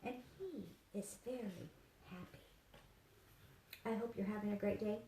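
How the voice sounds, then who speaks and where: A woman reading a children's story aloud in an expressive, simple storytelling manner, as if to young listeners. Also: medium pitch, measured pace, creaky. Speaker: therapy dog handler and volunteer reader. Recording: echoey room with faint background noise.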